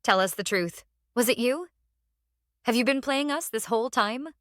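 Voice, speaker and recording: High-voiced distressed young woman played by an actress. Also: normal speed, fairly bright tone, no echo, clean studio sound, close to an everyday voice. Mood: accusing. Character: distressed young woman, island murder survivor. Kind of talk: scripted audio drama.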